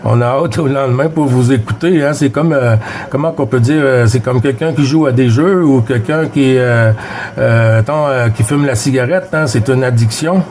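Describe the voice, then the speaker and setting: A man's voice: mid-range voice, moderate pace, darkish tone. A middle-aged man with a Québécois French accent, chatting casually on CB radio with lots of fillers and restarts. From Quebec. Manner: rambling, many fillers and restarts. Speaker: CB radio operator.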